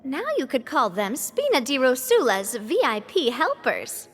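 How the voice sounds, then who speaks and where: A woman voicing an earnest young woman who leads a close-knit band, speaking in a gentle, hesitant way. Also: high voice, big pitch swings, measured pace, husky, full character voice. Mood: explaining. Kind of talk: video game voice acting. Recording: game audio with reverb and music.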